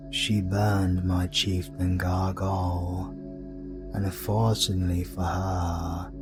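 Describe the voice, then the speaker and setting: An actor playing a cold, scheming dark deity in a fantasy audio drama, condescending and menacing, with drawn-out deliberate delivery. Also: male voice, low voice.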